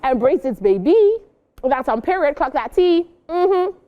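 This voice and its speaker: A woman with a Nigerian accent presenting entertainment news and casual commentary, expressive and emphatic in her delivery. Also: high-pitched, a little slow, narrow, tinny audio.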